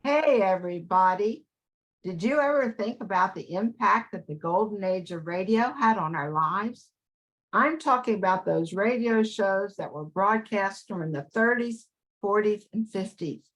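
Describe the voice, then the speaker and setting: Older woman presenter, medium-pitched voice. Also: a little slow, a bit bright, rough recording. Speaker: radio show presenter. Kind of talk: scripted introduction.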